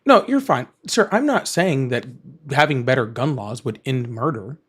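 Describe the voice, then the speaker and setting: A man hosting a casual political call-in livestream, animated and chatty, laughing often and checking in with "all right?" and "okay?" as he reads chat and argues with callers. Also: mid-range voice, normal speed, slightly rough. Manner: argumentative with callers. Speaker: livestream host.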